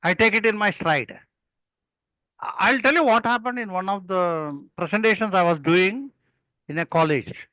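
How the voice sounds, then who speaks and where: Older South Indian man, high bright voice. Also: normal speed, no echo, rough recording. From Kerala, India. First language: Malayalam. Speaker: guest, experienced club speaker. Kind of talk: conversational storytelling and advice.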